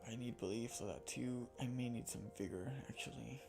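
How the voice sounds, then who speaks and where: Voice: medium pitch, brisk, gravelly. A streamer playing a visual novel, reading its text aloud and talking casually through the choices. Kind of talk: reading game dialogue aloud with casual asides. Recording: background music under the voice. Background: music.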